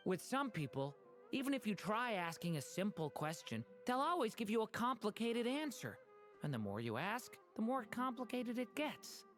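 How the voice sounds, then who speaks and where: Plain-spoken young woman, game role: thoughtful delivery with wide melodic swings, soft music under. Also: fairly high pitch, very animated, moderate pace. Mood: thoughtful, matter-of-fact. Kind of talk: video game voice acting.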